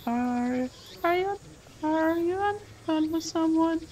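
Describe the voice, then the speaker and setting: A man voicing a gentle, curious young anime girl in a thin falsetto for a visual novel reading. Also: expressive, takes it slowly, slight background noise, exaggerated voice.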